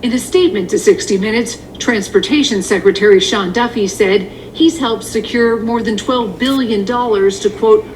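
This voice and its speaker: A woman's voice: medium pitch, normal speed, hardly any pauses, rough recording. A woman reading scripted television news narration as a correspondent. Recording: TV clip with clear background noise.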